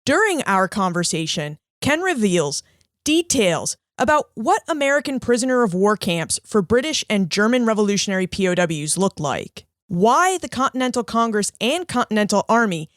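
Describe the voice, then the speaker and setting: A woman's voice: mid-range voice, sing-song, moderate pace, dry recording, studio quality. A woman hosting a history podcast, reading a scripted introduction and then welcoming and questioning her guest in an upbeat, friendly way. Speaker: podcast host.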